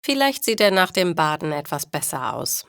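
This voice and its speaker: A woman's voice: sits low, sing-song, normal speed, creaky, a bit bright, dry recording, clean studio sound, exaggerated voice. A woman voicing an amused, easygoing father in a storybook, wry and philosophical.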